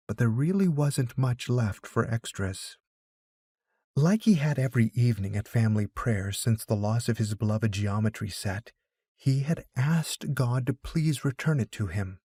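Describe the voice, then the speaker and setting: Guy reading an audiobook story, husky mellow voice, dry sound with faint music. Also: medium pitch, dry recording. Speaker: audiobook narrator. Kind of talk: scripted story narration.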